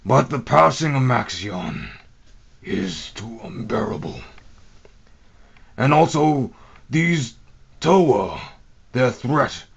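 A solemn robot leader, acted by a man, dictates a war journal for an animated film. The voice is low and husky, weary and grave, with halting dramatic pauses. The recording is a little dull. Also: heavily acted. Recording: dull, lo-fi home microphone.

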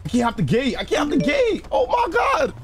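A man streaming a role-play game, chattering in character in excitable slang and repeating his phrases. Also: extremely high pitch, brisk, loud music behind the voice. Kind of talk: in-character live gameplay chatter. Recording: sirens and vehicle noise behind voice. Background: game sirens and car sounds.

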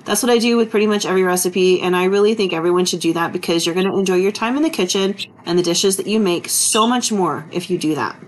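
A woman, chatting relaxed and easygoing as she narrates her cooking vlog. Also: lowish voice, fast, hardly any pauses, rough recording. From Texas. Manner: chatty, relaxed, full of fillers. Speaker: vlogger. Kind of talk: casual kitchen vlog narration.

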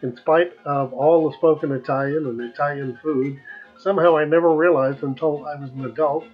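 An American man in his seventies tells a personal travel story as narrator. His voice sits a little higher than most men's, and music plays along with it. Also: steady tone, fairly quick, breathy edge, lo-fi sound. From United States. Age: 70s.

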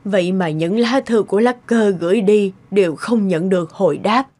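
A woman voicing a gentle, concerned elderly lady, measured and emotive, in an animated melodrama. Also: medium pitch, natural voice.

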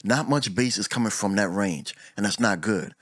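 A man's voice: fairly low pitch, moderate pace, no room sound, clean studio sound. A middle-aged man, an audio engineer, talking casually to camera about recording vocals, repeating words as he goes. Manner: relaxed, talks with his hands, repeats words as he goes. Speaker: audio engineer and channel host. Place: small room at home. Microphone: desk mic on boom arm.

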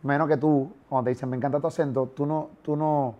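Puerto rican guy hosting, talks super fast, cusses and jokes around. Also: a little high, fairly even intonation, highs cut off. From Puerto Rico. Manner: crude, joking, fast interjections. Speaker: host. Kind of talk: casual interview chat. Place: pink-lit studio set, indoors, on a couch.

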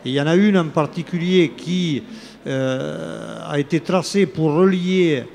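Older man, elected official, live fair-stand interview, halting speech, handheld mic, faint hall noise behind. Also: a little high, gravelly, a little mellow. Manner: hesitant, many fillers and restarts. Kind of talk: live talk-show interview. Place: open studio set at a trade fair hall. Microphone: red handheld microphone.

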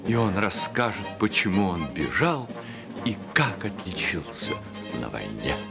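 A man narrating a radio drama as scripted literary storytelling, in a measured manner. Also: low-pitched, sing-song, vocal fry, over loud music.

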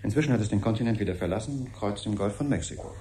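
An actor playing an evasive, unflappable ambassador, speaking in an official, placating manner in a 1970s radio drama. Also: male voice, fairly low pitch, animated, rapid-fire, dark tone, natural voice. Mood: glib, placating. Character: smooth-talking, evasive male ambassador, unflappably dismissive. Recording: 1970s radio production, faint background noise.

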